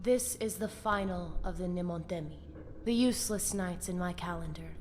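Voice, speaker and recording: Actress as solemn vampire warrior, echoing hall. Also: female voice, on the low side, moderate pace, a little mellow, natural voice. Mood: solemn resolve. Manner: portentous, ceremonial speech. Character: solemn, fanatical female vampire pack warrior. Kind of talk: dramatic video game character acting. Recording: long reverberant hall, faint background noise.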